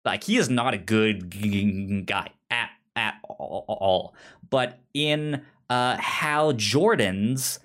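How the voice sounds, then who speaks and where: Guy cohosting a casual podcast, talks kinda slow, stutters on syllables, explains stuff informally. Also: lowish voice, a bit bright. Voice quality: stutter with repeated syllables and sounds. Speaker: podcast co-host. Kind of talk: casual pop culture discussion.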